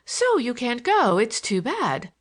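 Woman voicing well-bred society matron, raised pitch. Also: huge pitch swings, slow pace, heavily acted. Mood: regretful coaxing. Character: brisk, worldly society matron conferring with her sister.

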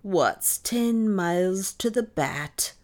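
A woman's voice: lowish voice, very animated, a little slow, a little mellow, voice pushed up. A woman voicing a superstitious, gloomy, stubborn old Irish maidservant with a dramatic, insistent delivery in an audiobook. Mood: pleading, mournful. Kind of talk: audiobook character dialogue.

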